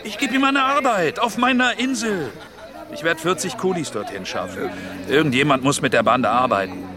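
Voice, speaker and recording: A man acts out a brash, scheming old-time sea trader for a radio play. His voice sits a little high and swings widely as he boasts, coaxes and swears. A busy crowd is heard outdoors behind him. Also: animated, moderate pace, lightly acted. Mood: scheming, coaxing. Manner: boastful, coaxing, coarse, swearing. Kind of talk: radio drama acting. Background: outdoor crowd bustle.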